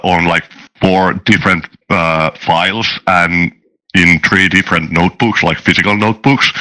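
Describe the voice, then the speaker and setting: Very deep-voiced man, bright and forceful. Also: rough recording. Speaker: guest, tabletop game designer and dungeon master. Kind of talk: casual chat, interview answers.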